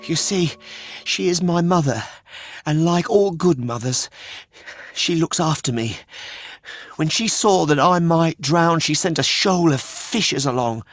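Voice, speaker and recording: A man's voice: on the high side, a little slow, voice pushed up, close to an everyday voice. A man voicing a naive, cheeky young wooden puppet boy in a children's storybook, chirpy and carefree. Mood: innocent, explaining.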